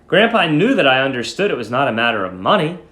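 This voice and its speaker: A man speaking as a teacher, reading and instructing in an expressive, emphatic way. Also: medium pitch, quick pace. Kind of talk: dramatic story reading and lesson instruction. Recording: echoey room.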